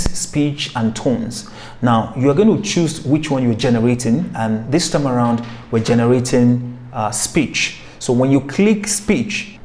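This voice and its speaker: Man, voiceover artist, mid-pitched voice, step-by-step tutorial, direct opinions. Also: a little mellow. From Nigeria. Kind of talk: software tutorial.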